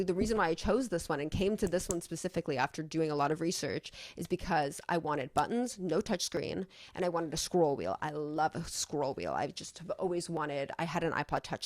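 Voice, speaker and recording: A woman's voice: sits low, no room sound. A young American woman rambling casually as a vlogger, effusive and sweary in how she talks. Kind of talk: casual rambling chat to camera.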